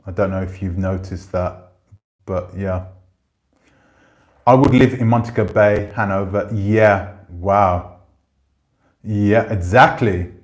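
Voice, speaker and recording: A man in his forties chatting casually on a livestream, rambling and relaxed, swearing now and then. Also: deep voice, slow, pauses often. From Jamaican family roots. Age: 40s. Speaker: channel host. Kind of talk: casual livestream chat reading comments.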